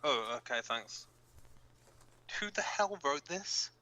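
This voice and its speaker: Man playing a bright-voiced, informal resistance radio fighter. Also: on the high side, lively intonation, full character voice, low-quality recording. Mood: flustered. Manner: halting, informal, blunt, cursing. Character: flustered, sweary young male resistance fighter reading radio bulletins. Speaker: radio co-host in a fiction drama. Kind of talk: amateur audio drama, fictional pirate radio broadcast.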